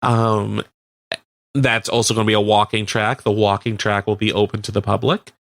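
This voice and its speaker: A man's voice: fairly low pitch, level tone, normal speed, a bit bright, no echo, clean studio sound. A man with a Canadian accent co-hosting a casual local radio news chat in an informal, slightly hesitant and lightly joking way. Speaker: radio co-host.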